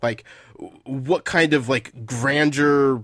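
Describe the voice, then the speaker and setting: A man's voice: mid-range voice, takes it slowly, a bit bright, dry recording, studio quality. A man hosting a casual podcast chat, stuffed up from a head cold, rambling with lots of fillers. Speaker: podcast host.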